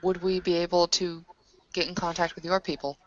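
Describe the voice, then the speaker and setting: A woman playing a tabletop roleplay, casually thinking aloud in a halting way, on dull, lo-fi audio. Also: on the low side, level tone, slight background noise. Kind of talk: casual roleplay, half narrating her character.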